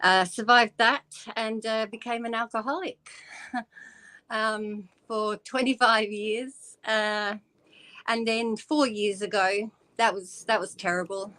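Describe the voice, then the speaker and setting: A woman speaking as a guest on a video call, telling her story in a halting way with many pauses. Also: on the high side, steady tone, sharp tone. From Scotland.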